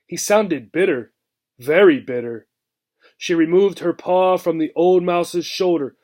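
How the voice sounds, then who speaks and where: A man reading a children's book aloud with expressive narration. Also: a little high, measured pace.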